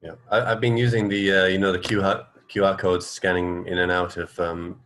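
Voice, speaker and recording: Low-voiced man co-hosting radio. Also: little pitch movement, normal speed, fairly bright tone. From England. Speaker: radio show co-host. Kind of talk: casual radio chat.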